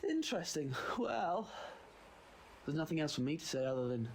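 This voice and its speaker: A man playing a quirky, quick-witted time traveller in an audio drama, flippant yet assertive. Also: a little high, very animated, darkish tone, a little hiss or hum. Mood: defiant.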